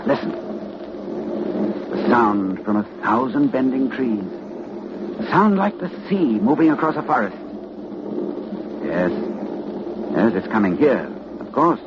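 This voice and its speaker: A man acting in a 1940s radio drama as a grave, anxious learned doctor, urgent and dramatic, with halting delivery. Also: medium pitch, sing-song. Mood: solemn, dread. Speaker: narrator and lead actor. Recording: muffled, noisy old radio recording.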